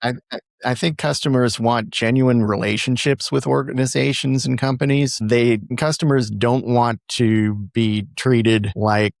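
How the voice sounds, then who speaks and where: A man, a business consultant guest, answering interview questions in a measured way with frequent you-knows and repeated starts. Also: medium pitch, steady tone, gravelly, dry recording, clean studio sound.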